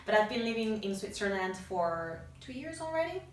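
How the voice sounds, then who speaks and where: A woman from Spain with a Spanish accent, co-hosting a podcast in casual, energetic chat and laughing often. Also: mid-range voice, moderate pace, slight background noise. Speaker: podcast co-host. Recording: echoey room, mic at a distance.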